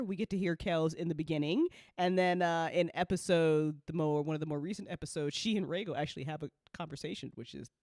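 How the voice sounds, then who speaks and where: A woman's voice: lowish voice, dry recording, studio quality. A woman hosting a casual chat, gushing and sweary, repeating phrases for emphasis. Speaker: host, audio drama writer and creator. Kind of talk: casual chat, live after show.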